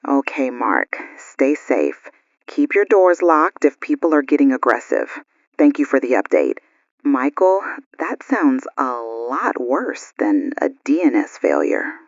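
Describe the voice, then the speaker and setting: A woman performs an alert radio news host in a broadcast drama. She sounds concerned, with a husky voice and lively intonation. The recording is dry and slightly dull. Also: a bass voice, a little slow, dry recording, heavily acted.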